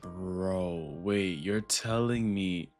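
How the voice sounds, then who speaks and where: A man's voice: deep voice, very slow, a little mellow. A young man giving casual, slangy, exclamatory reaction commentary as a gaming streamer. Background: faint game music.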